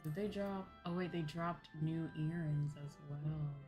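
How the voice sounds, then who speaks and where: Low-pitched woman streamer, casual and rambling. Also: steady tone, measured pace, warm tone, soft background music. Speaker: livestreamer and host. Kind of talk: casual game livestream chat to viewers.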